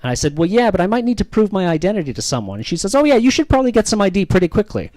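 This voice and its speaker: A man's voice: high voice, sing-song, racing, darkish tone, no echo. A man with a Canadian accent doing self-deprecating, ironic stand-up comedy and bantering with the audience.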